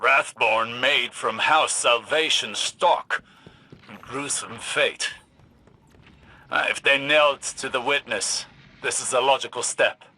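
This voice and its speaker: An actor playing a stern, brooding older scholar-warrior in a video game, speaking in a formal, imperious, deliberate way. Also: male voice, mid-range voice, sharp tone, full character voice, rough recording. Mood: grim, commanding. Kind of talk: dramatic video game voice acting.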